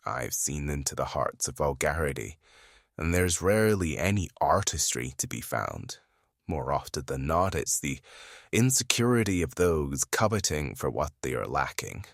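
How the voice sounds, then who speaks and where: A woman voicing a weary, guarded male FBI profiler in an audio drama, tired and deliberate, her voice pitched down to sound masculine. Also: very animated, no echo, clean studio sound, heavily acted. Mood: guarded, cynical. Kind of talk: fan audio drama acting.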